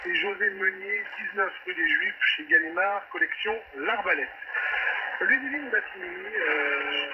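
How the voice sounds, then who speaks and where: A male debate host with a fairly high voice speaks in a radio presenting style. The shortwave reception is muffled, with loud static washing over him. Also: a little slow. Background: loud radio static and hiss.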